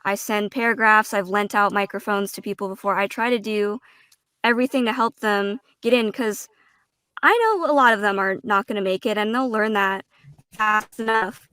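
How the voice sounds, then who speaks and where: A woman in a casual roundtable talk as a voice actor, phrasing her thoughts deliberately and a little haltingly. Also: medium pitch, pushed and bright, clear, resonant tone, no echo. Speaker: voice actor, panel guest.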